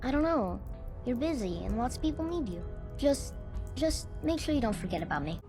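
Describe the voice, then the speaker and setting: A woman's voice: fairly high pitch, big pitch swings, brisk. A woman playing an earnest, anxious young boy in a game, childlike and pleading. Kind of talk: game cutscene acting. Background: music.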